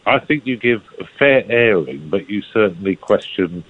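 A man's voice: on the low side, animated, moderate pace, husky, no room sound. A man phoning in to a radio show, agreeable and full of compliments for the host. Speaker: caller. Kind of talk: phone-in chat. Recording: tinny phone line.

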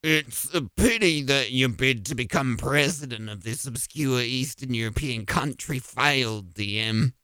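A man voicing Roland, a dry, skeptical male sidekick in a comedy podcast, speaking in a deadpan, sardonic way. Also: mid-range voice, unhurried, hardly any pauses, clear, resonant tone, poor audio. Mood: wry.

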